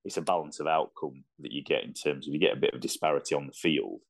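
A man's voice: a bass voice, steady tone, quick pace, creaky, husky. A man with a Yorkshire accent talking reflectively and earnestly in a casual sports discussion as a podcast guest.

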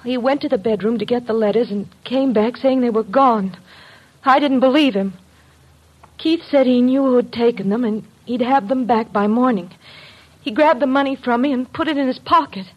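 A woman's voice: fairly high pitch, normal speed, breathy edge. An actress playing a frightened young woman under suspicion, pleading in melodramatic old radio mystery style. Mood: shaken, horrified. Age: young adult. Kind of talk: 1940s-50s radio mystery acting. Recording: dull old radio recording.

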